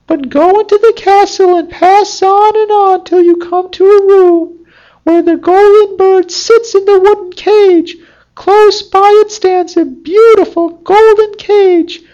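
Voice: very high voice, dark tone, highs cut off, voice pushed up. A storyteller voicing a talking fox from a fairy tale, who gives earnest, instructive counsel. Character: high-voiced talking fox giving wise counsel. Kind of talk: storybook character voice.

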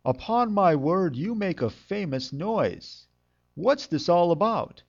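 A man voicing a friendly, encouraging donkey with a hearty, expressive manner in a fairytale. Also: sits high, takes it slowly, gravelly, highs cut off, voice pushed up, exaggerated voice. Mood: impressed. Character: friendly, encouraging male donkey, leader of animals.

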